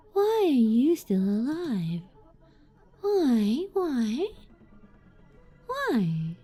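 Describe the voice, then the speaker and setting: A lonely, obsessive young woman pleads and questions again and again, as a woman reads her lines aloud. Her voice sits high and swoops widely, drawn out rather than rushed. Faint game music plays behind. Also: warm tone, heavily acted. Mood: anguished.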